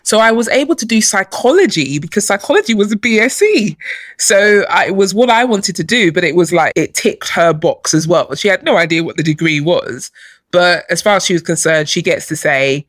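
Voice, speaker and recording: A woman's voice: on the low side, expressive, fairly quick, dry recording, studio quality. A woman from who was born in Nigeria, a chief executive telling her story as a guest on a podcast, laughing often. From born in Nigeria, raised in London. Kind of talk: casual podcast interview.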